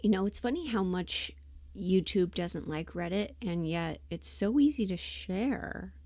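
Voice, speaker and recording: A woman hosts a casual livestream in a relaxed, dark-toned voice, close and dry on the mic. She speaks slowly and haltingly, thinking aloud and muttering to herself. Her manner is self-deprecating and unpolished. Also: mid-range voice, no room sound. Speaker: livestream host, voice performer.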